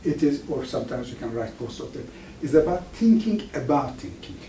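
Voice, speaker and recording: A man's voice: medium pitch, lively intonation, warm tone, slight background noise. Farsi-speaking man lecturing in an echoey room. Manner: halting, restarts words often. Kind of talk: informal lecture to an audience. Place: lecture room with live audience. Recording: echoey room, mic far off.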